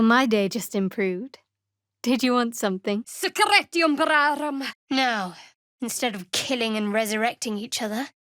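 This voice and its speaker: A young female cleric of a dark goddess speaks in a fairly high voice. She is guarded and wry, with a cool, sardonic edge. It is scripted fantasy game dialogue, recorded very dry with no room sound. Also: dry recording. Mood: playful, then casting spells. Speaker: video game character.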